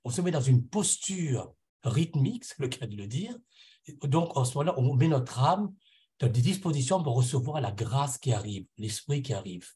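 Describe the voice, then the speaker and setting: A middle-aged man from Réunion island speaks at a conference with a warm, mellow tone, sharing his own spiritual experience. He is earnest and reflective, often hunting for the right word and repeating himself mid-thought. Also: medium pitch, very animated, moderate pace. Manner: searching, hesitant, restarts and repeats words. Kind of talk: personal spiritual testimony at a conference.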